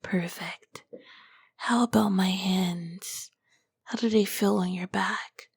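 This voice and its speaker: Slow, breathy whispering succubus, acted by a woman. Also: medium pitch, fairly even intonation, no room sound, exaggerated voice. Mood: soothing, affectionate. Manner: slow, soothing, doting endearments. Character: ancient nurturing succubus queen, tender demon woman. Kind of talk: ASMR roleplay.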